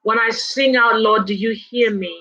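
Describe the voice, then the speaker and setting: A woman leading a congregation in prayer and worship, with slow, fervent, drawn-out phrases that slip into singing. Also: on the high side, steady tone. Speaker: worship leader. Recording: dull lo-fi recording with soft music behind.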